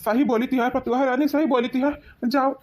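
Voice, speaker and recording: A woman's voice: sits high, steady tone, a little fast, a little mellow, slight background noise, close to an everyday voice. A woman voicing a whining old father-in-law who pleads and coaxes, with an Awadhi accent. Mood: pleading. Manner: pleading, repetitive coaxing. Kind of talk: cartoon voice acting.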